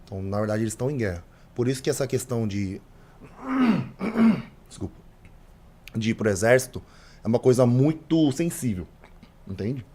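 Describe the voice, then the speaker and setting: Man, hoarse, halting, casual interpreter chat. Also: mid-range voice, big pitch swings, mellow, slight background noise. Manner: halting, many restarts.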